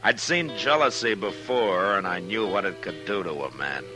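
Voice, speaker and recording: A man acting a steady, rueful Western town marshal in an old-time radio drama. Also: low voice, normal speed, lightly acted. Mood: rueful, reflective. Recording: vintage radio recording with music.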